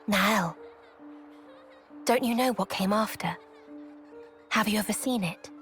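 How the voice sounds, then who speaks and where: A woman playing a grave, knowing character in a video game cutscene, challenging another in a measured, solemn, probing way. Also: medium pitch, a bit bright, faint music behind.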